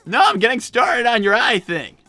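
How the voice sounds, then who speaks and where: A man's voice: very high-pitched, moderate pace, bright, forceful tone, no room sound. A man voicing a flustered, defensive young cartoon fox boy with a cracking voice and a stammering, exasperated manner, in an improvised comedy livestream. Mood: reluctant placating. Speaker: livestream co-host in character.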